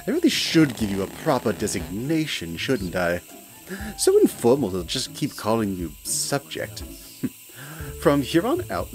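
A man's voice: lowish voice, low-quality recording. A man voicing a smug male hyena mad scientist who talks in a silky, condescending, theatrical way, with frequent chuckles. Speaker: villainous scientist narrating to the listener. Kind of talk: hobby audio play. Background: background music.

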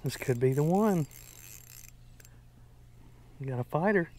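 A man's voice: a little high, expressive, slow pace, darkish tone. A man chatting casually to camera as a folksy fishing vlog host, out on a small boat. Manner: folksy, addresses viewers as folks. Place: outdoors on a small boat on a lake. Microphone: action camera worn on or near the body. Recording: outdoor noise on action camera.

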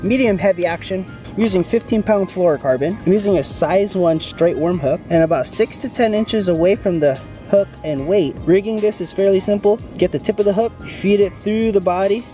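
A man's voice: sits high, brisk, hardly any pauses, mellow. A man in his thirties, presenting an instructional vlog in a casual, step-by-step manner. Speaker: fishing vlogger presenting a tutorial. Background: background music.

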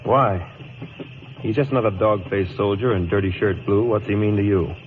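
An actor playing a proud, jealous young cavalry lieutenant in 1940s radio style, clipped and sardonic. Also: male voice, lowish voice, fairly even intonation, moderate pace, lightly acted. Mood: bitterly sarcastic. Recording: tinny, noisy old radio broadcast.

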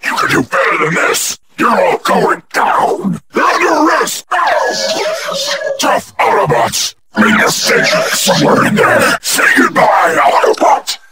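A man voicing a sardonic, thuggish robot henchman in a video game, gravelly, cocky and grumbling. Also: very high-pitched, wildly swooping pitch, measured pace, hardly any pauses, raised voice, loud music behind the voice, exaggerated voice. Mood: taunting. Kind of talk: video game character voice acting.

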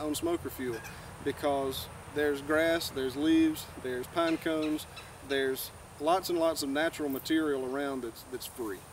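A young man giving an informal, plain-spoken, folksy instructional talk to camera outdoors. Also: on the high side. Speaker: beekeeper giving a tutorial. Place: outdoors in a grassy clearing by woods. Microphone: far camera. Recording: distant camera mic, outdoor background noise.

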